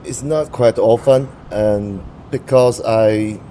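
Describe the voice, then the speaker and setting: A young man with a Taiwanese accent answers interview questions in English, his first language being Mandarin. His voice sits low and sounds dark and mellow. He halts and restarts often while he searches for words. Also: slow pace, slight background noise. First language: Mandarin Chinese.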